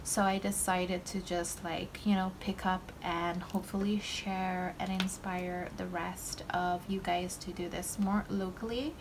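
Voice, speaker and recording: A woman's voice: lowish voice, noisy background. A young woman from Malaysia hosting a budgeting channel, talking casually to camera while she counts cash at her desk, with lots of ums and likes. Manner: slow, relaxed, with many ums and likes. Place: indoors at a desk. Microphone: overhead camera framing desk and hands.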